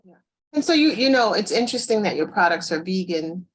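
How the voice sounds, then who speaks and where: A woman's voice: medium pitch, sing-song, fairly quick, poor audio. A woman hosting an interview, asking questions enthusiastically and rambling with many fillers. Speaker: interview host.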